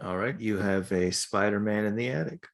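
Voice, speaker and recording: A man's voice: fairly low pitch, normal speed. A man co-hosting a podcast in casual comic banter, interjecting with skeptical riffs. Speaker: podcast co-host.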